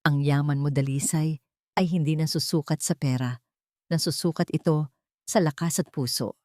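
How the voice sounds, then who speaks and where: A woman's voice: low voice, fairly even intonation, normal speed, no room sound, lightly acted. A humbled rich businessman in love , read by a woman storyteller, speaks with earnest feeling. The delivery sits in a dry, close audiobook-style recording. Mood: humbled, admiring. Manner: earnest, soft-spoken admiration.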